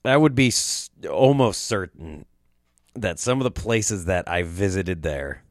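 A man's voice: deep voice, lively intonation, unhurried, no echo, studio quality. A young man hosting a podcast, telling a rambling, profane story in a casual monologue, his throat sore from allergies. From Quad Cities area, US Midwest. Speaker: podcast host.

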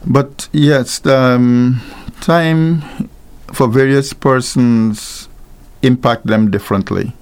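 Slow, gravelly older pastor from Guyana. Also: male voice, medium pitch, steady tone, darkish tone. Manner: unhurried, rambling with many ums and small laughs. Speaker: pastor, radio guest. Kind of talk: unscripted radio talk.

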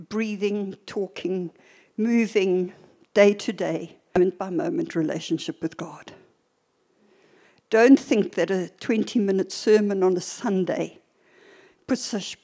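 Slow, deliberate older woman preaching. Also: mid-range voice, warm tone, breathy edge. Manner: deliberate, emphatic, with short pauses and repetition. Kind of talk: church sermon teaching.

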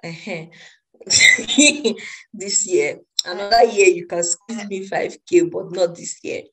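A woman's voice: lowish voice, takes it slowly, fairly bright tone, rough recording. A Nigerian woman in her twenties, a podcast co-host chatting casually and joking with a friend. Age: 20s. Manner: playful, joking, laughs often. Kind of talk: casual chat.

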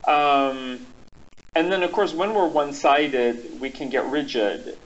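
A man's voice: a little high, slow pace. A man speaking as a guest expert in a thoughtful conversation, reflective and full of ums and restarts. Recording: dull lo-fi audio.

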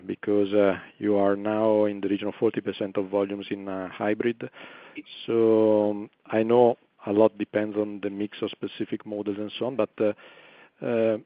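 Male analyst on a conference call, slightly deep voice, halting questions, dry and very narrow phone sound. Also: no room sound. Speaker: financial analyst. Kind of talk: asking questions on an earnings call. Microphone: conference call line. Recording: tinny, dry phone line, uneven level.

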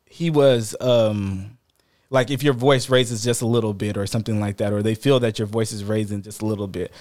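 A man co-hosting a casual podcast, talking in an animated, rambling way with many restarts, his voice fairly high. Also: normal speed. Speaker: podcast co-host.

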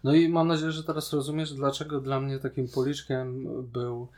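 A man's voice: medium pitch, flat delivery, normal speed, darkish tone. A man co-hosting a podcast, casually critiquing a series in an opinionated, sarcastic way. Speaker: podcast co-host reviewing a TV series.

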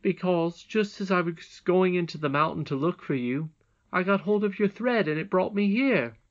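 A woman's voice: on the low side, lively intonation, moderate pace, highs cut off, pitched above the performer's own voice, lightly acted. A woman voicing a brave, humble young miner boy in a storybook, frank, earnest and emphatic. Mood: sincere.

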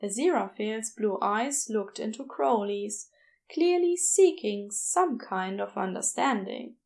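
A woman's voice: medium pitch, expressive, unhurried, vocal fry, darkish tone. A woman narrating a scripted story as an audiobook-style reader.

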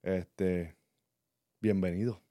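A man in his thirties with a Puerto Rican accent, co-hosting a podcast and talking in an earnest, reflective way, often pausing on fillers. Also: low-pitched, steady tone, mellow, dry recording, clean studio sound. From Puerto Rico. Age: 30s. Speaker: podcast co-host. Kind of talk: casual personal chat.

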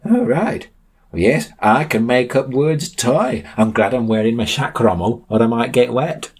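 Eccentric storybook adventurer, played by a man, high-pitched, bossy, theatrical, swooping delivery. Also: animated, a little slow, husky. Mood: breezy. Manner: theatrical, odd mixed dialect words. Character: eccentric, aloof, bossy male adventurer companion.

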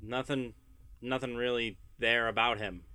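A man's voice: medium pitch, fairly even intonation, measured pace, crowd noise. A man from New York with a New York accent and a slightly nasal voice, co-hosting a podcast in casual, opinionated chat with stumbles and restarts. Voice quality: a bit nasal. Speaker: podcast co-host.

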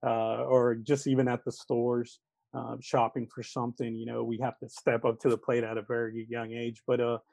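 Teacher guest, man in his thirties, Spanish-speaking upbringing, mid-pitched mellow voice, earnest and reflective, dry close recording. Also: completely flat delivery, moderate pace, creaky, dry recording. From Texas, United States. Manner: earnest and reflective, many fillers like "uh" and "you know". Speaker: elementary school teacher, interview guest. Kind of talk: conversational interview answers.

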